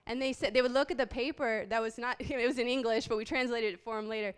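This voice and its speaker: A woman's voice: on the high side, fairly even intonation, fast, clear, resonant tone. A woman in her late twenties, from Haiti, telling a heartfelt personal testimony as a guest speaker, earnest and full of small ums. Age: late 20s.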